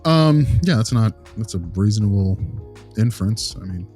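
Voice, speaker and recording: A man's voice: fairly low pitch, lively intonation, moderate pace, darkish tone, soft background music, natural voice. A man playing an inquisitive, informal behavior consultant in an improvised role-play, asking colloquial questions and repeating himself. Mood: probing.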